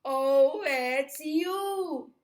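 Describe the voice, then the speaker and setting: A woman's voice: medium pitch, big pitch swings, very slow, a bit bright, heavily acted. A woman voicing a cheerful, friendly duck-billed dinosaur in a storybook, chanting in gleeful hoots.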